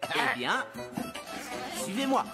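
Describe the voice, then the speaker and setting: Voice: medium pitch, very animated, slow pace, crowd noise. An actor voicing an earnest, lovestruck young fairy-tale prince with an eager, naive manner in a children's cartoon. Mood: confident. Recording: music behind the voice.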